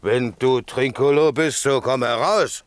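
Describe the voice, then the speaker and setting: A man's voice: medium pitch, unhurried, close to an everyday voice. A man playing a drunken, blustering shipwrecked servant in a classic radio play, boozy, drawling and bossy. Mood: astonished.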